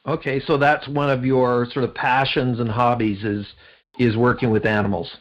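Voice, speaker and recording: A man's voice: medium pitch, little pitch movement, moderate pace, muffled recording. A man with a Canadian accent, speaking conversationally as a podcast host and interviewer.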